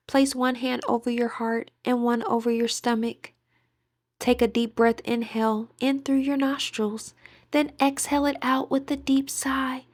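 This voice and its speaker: Female meditation guide, fairly high voice, gentle breathy delivery with measured pauses, dry recording. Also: no room sound. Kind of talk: guided meditation, scripted narration.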